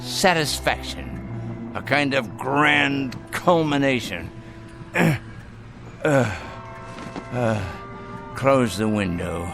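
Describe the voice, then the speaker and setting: Slow, sardonic dying old patriarch. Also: male voice, medium pitch, animated, vocal fry, over music, full character voice. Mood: wry, knowing. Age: older. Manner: theatrical, scornful, deliberate speech. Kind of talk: dramatic radio play acting.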